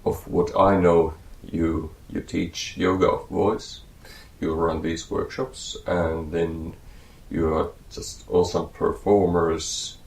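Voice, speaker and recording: Deep, gravelly male interviewer in a roomy space. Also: flat delivery, takes it slowly, hardly any pauses. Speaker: interviewer host. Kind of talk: casual interview questions. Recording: roomy echo.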